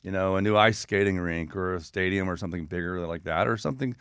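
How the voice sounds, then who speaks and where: A man with a lowish voice speaks very quickly in a dry studio. He is a talkative interview guest, earnest and anecdotal in a casual way. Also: a bit bright, smooth, dry recording. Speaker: guest, communications consultant.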